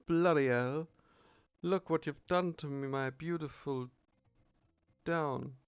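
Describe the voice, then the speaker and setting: A man's voice: fairly high pitch, slow pace, clear, resonant tone, no room sound, highs cut off, soft background music. A man voicing a chubby talking bird merchant in a game, cheeky, grumbling and boastful. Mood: aggrieved. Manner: grumbling, boastful salesman patter.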